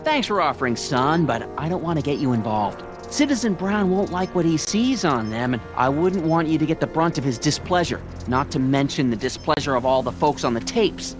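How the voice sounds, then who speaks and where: Male actor as meek middle-aged father, American accent, folksy, fussy, very animated intonation, video game dialogue over music. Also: a little high, a little fast, few pauses, lightly acted. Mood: timid, cautious. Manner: earnest, folksy, timid, prim. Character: meek, fussy middle-aged small-town father, eager rule-follower.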